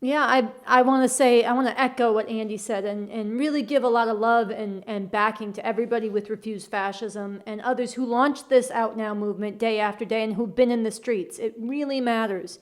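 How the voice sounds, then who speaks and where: A woman's voice: fairly high pitch, steady tone, a little fast, few pauses. A woman co-hosting a political show, speaking earnestly and emphatically, repeating phrases. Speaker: talk show co-host. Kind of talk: political commentary.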